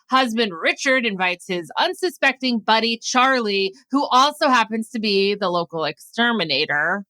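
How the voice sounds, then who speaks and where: A woman podcast host with a bright, forceful voice at a middle pitch. She introduces the show conversationally, recorded very dry. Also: no room sound.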